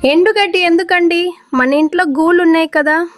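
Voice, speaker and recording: A woman's voice: very high voice, normal speed, no echo. A woman voicing a polite, helpful pigeon wife in a children's cartoon. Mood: questioning. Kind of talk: children's moral-story cartoon dialogue.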